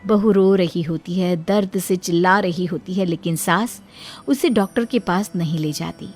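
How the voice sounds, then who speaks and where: A woman's voice: lowish voice, moderate pace, a little mellow. A woman narrating a scripted dramatic story. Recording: music under the voice.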